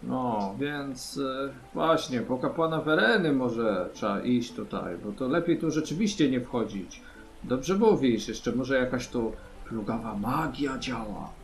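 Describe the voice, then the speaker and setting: A man's voice: medium pitch, expressive, a little slow. Man playing a hesitant, superstitious fisherman. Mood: suspicious. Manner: hesitant, colloquial. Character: wary, simple, superstitious male fisherman townsman. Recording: music under the voice.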